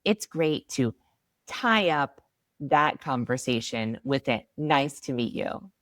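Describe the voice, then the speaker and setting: A woman in her thirties teaching a beginner English lesson, saying model phrases slowly and with clear pronunciation. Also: rumbling low, lively intonation, no room sound, studio quality. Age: 30s. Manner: slow model phrases, bright and upbeat. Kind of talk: slow, clearly enunciated beginner ESL lesson.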